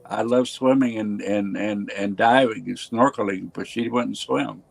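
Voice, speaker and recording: A man's voice: fairly low pitch, steady tone, measured pace, creaky. A man in casual group chat, recalling things in a reflective way.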